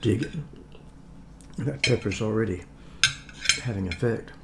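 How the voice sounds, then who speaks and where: Older guy in his 70s chatting while eating, gravelly voice, noisy room w silverware clinking. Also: lowish voice. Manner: halting short phrases, talking while eating. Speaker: vlogger eating on camera. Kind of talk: casual mealtime chat to camera. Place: home dining room. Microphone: camera across the table.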